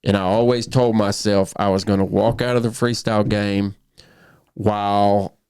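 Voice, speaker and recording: A man's voice: fairly low pitch, level tone, normal speed, a little mellow, clear, resonant tone, dry recording, studio quality. A man in his thirties, a professional bullfighter, telling his story earnestly in a casual podcast conversation, often restarting his sentences. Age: 30s.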